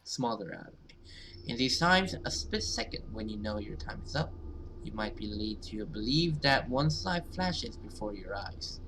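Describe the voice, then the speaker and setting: A man's voice: fairly low pitch, animated, normal speed, noisy background. A man hosting a gaming video, reading visual novel text aloud with casual commentary and sometimes stumbling over and repeating words.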